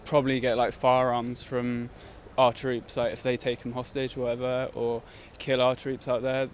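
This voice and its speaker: A man's voice: mid-range voice, completely flat delivery, normal speed. A man answering a street interview in an informal, tentative way. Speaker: member of the public interviewed. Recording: outdoor street noise behind.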